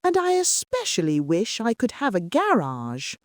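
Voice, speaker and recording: A woman's voice: fairly high pitch, huge pitch swings, moderate pace, dry recording, studio quality. A woman voicing an earnest, hopeful little old car in a children's bedtime storybook, with a childlike, wishful manner.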